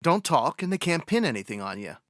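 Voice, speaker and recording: An actor playing a tough, no-nonsense police sergeant in old radio drama style, matter-of-fact, pressing and sardonic. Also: male voice, on the high side, sing-song, a little fast, fairly bright tone, dry recording, studio quality. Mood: stern, needling. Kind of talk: 1950s radio detective acting.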